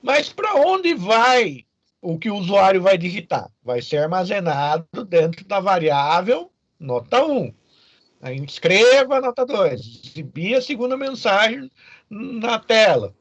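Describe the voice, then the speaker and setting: A man's voice: high voice, big pitch swings, measured pace, dry recording, dull audio. A Brazilian man giving an informal, didactic lecture as a programming teacher, often checking in with short questions to his students.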